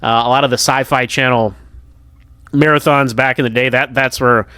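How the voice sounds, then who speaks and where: A man's voice: medium pitch, steady tone, normal speed, sharp tone, smooth, no echo. Casual, rambling American man, dry recording. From United States. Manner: hesitant, self-interrupting, conversational. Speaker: podcast listener giving episode feedback. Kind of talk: casual rambling review commentary.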